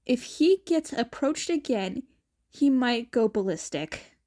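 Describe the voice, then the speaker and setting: Slow-paced woman reading a story. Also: a little high, animated, dark tone. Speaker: fan fiction podfic reader. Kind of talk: amateur story narration with character voices.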